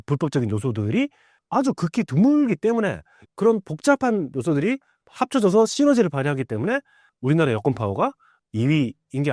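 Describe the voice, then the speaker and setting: A man's voice: mid-range voice, dark tone, dry recording, clean studio sound. A middle-aged man speaking as an expert guest, explaining in a conversational, emphatic way with rhetorical questions. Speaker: guest expert, professor of American culture. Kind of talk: explanatory interview talk.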